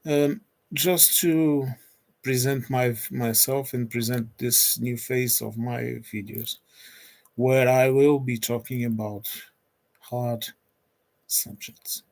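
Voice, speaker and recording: Portuguese-accented man in his forties; slow, hesitant livestreamer who restarts phrases. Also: mid-range voice, fairly even intonation, creaky, a little mellow. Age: 40s. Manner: hesitant, pauses often with restarts and fillers. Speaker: streamer. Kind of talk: unscripted solo livestream chat.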